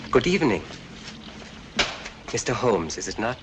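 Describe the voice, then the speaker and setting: An actor playing a suave villain who feigns courtesy with smooth menace, in 1940s film style. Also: male voice, mid-range voice, sing-song, measured pace. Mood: mock-courteous. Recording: noisy old 1940s film soundtrack.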